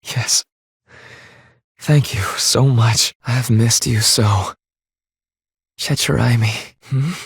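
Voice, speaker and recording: An earnest, formal game hero, clean recording. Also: male voice, medium pitch, fairly even intonation, gravelly, slightly rough, no echo, studio quality, full character voice. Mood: tender, longing. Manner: formal, measured, solemn phrasing. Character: earnest, formal, remorseful man, a devoted lost husband. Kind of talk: dramatic English video game voice acting.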